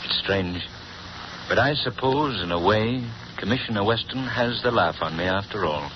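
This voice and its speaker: A gentleman hero speaks low, calm and measured, as a man plays him. The 1930s radio recording is dull and hissy. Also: natural voice.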